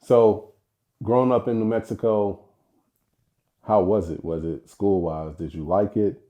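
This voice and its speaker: A man's voice: fairly low pitch, fairly even intonation, unhurried, warm tone. A man hosting a podcast and interviewing his fiancée, with an upbeat manner. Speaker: podcast host.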